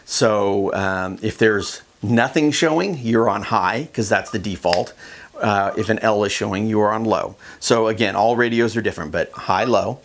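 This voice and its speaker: A man's voice: fairly low pitch, normal speed. A man giving a casual, plain-spoken instructional demonstration in a conversational way. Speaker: kayak fishing instructor.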